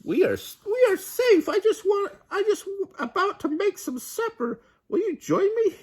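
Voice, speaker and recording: A little male pig from a children's storybook. The voice is a very high, squeaky falsetto that squeals and swoops. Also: sing-song, normal speed, darkish tone. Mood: reassuring, bold. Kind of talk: children's storybook read-aloud.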